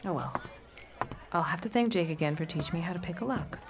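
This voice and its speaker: A woman's voice: on the low side, lively intonation, fast talker, background noise. A woman playing a loyal, droll 1910s friend and nervous amateur snoop in an audio drama, dry and understated. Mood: nervously plotting. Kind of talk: period audio drama acting. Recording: busy party chatter behind.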